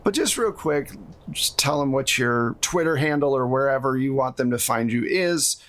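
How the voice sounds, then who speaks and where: A man's voice: mid-range voice, animated, normal speed, fairly bright tone. A man hosting a tabletop roleplaying game as game master, talking casually and explaining things in a conversational way. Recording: noticeable background noise.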